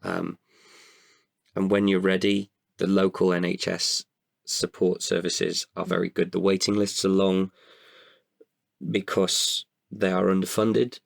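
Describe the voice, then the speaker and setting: A man giving candid, halting answers as a podcast guest, often repeating words as he goes. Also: deep voice, level tone, gravelly, dry recording, studio quality. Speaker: podcast guest, writer and performer.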